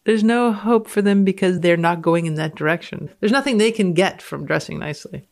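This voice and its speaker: A woman's voice: on the low side, a little fast, creaky, darkish tone. A woman speaking as a guest on a science podcast, moving between casual chat and reading aloud from a paper.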